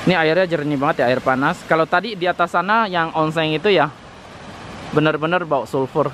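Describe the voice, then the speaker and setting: A man's voice: fairly high pitch, a little fast, fairly bright tone, slight background noise. A young man hosting a casual travel vlog, speaking enthusiastically outdoors into a handheld camera. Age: young adult. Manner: enthusiastic, exclaiming. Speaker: vlogger, host. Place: outdoors at night in a hot spring town. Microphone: handheld camera at arm's length.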